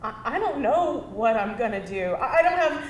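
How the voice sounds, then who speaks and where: A woman's voice: fairly high pitch, very animated, moderate pace, muffled recording. An actress playing a stressed, apologetic woman of twenty-five in a live screenplay table read, stammering and hesitant. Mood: overwhelmed. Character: stressed, apologetic 25-year-old woman caring for her grandfather. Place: indoor live table read before an audience. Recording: roomy live stage recording, faint noise.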